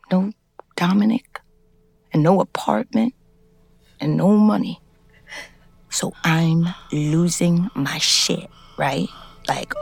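A woman's voice: on the low side, gravelly, no room sound, heavily acted. An actress playing a foul-mouthed, middle-aged ex-convict, telling her story in a confiding, conversational way. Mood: shaken. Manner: streetwise, blunt, confiding. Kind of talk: scripted first-person narration, conversational.

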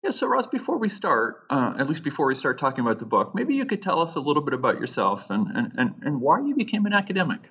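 Lively man host, muffled far-off mic. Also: fairly high pitch, fast, hardly any pauses, husky. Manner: earnest, some stammered restarts. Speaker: podcast host. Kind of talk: podcast interview intro.

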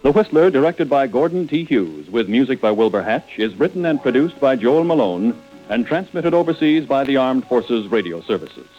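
A man's voice: medium pitch, big pitch swings, normal speed, few pauses. American announcer guy, old radio formal read, music underneath, muffled.

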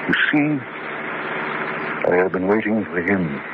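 Pompous, theatrical genius adventurer, muffled recording. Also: male voice, deep voice, lively intonation, a little slow. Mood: grandiose, self-important. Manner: pompous, melodramatic, grandiose. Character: arrogant, pompous male scientific genius adventurer, husband. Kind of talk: campy horror radio drama acting. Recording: muffled, noisy radio recording.

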